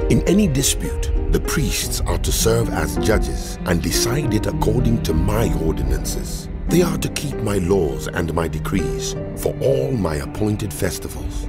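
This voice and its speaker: A man giving a dramatized scripture reading as narrator, in a solemn, measured, authoritative manner. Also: low voice. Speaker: Bible narrator. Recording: loud music behind voice. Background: loud background music.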